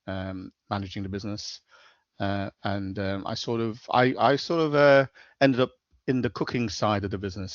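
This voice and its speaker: A man's voice: on the low side, no echo, highs cut off. A middle-aged man with a Liverpool accent, a podcast guest talking in a level, matter-of-fact way with many hesitations. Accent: Liverpool (Scouse). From Liverpool, England. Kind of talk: casual interview chat.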